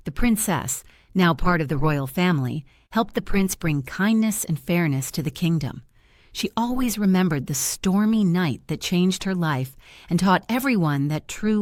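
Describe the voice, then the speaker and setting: A woman narrating a children's fairy tale in a calm, even storytelling voice. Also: on the low side, no echo, studio quality. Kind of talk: scripted bedtime story narration.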